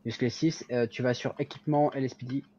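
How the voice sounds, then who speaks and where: A man's voice: medium pitch, fairly even intonation, fast talker, mellow. A man chatting casually in an easygoing way while playing a police commander in an online roleplay. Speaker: player acting as police commander. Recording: dull gamer voice chat with background noise.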